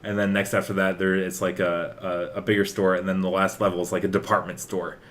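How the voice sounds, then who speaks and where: A man's voice: low voice, level tone, a little fast, fairly bright tone, slight background noise. A young man co-hosting a podcast, chatting casually and enthusiastically about video games in a rambling way. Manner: enthusiastic, rambling, frequently chuckling. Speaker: podcast co-host.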